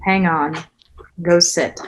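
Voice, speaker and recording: A woman's voice: fairly low pitch, fairly even intonation, slow, a little hiss or hum. A woman acting a tough, weary, guarded single mother in a naturalistic screenplay read, blunt and sardonic. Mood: defiant, sardonic. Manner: blunt, sardonic, plain-spoken.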